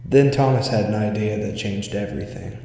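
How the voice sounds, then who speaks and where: A man's voice: fairly low pitch, a little fast, creaky, mellow. Male horror story narrator in cavernous echo. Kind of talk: scripted spooky story reading. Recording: echoey reverberant hall sound.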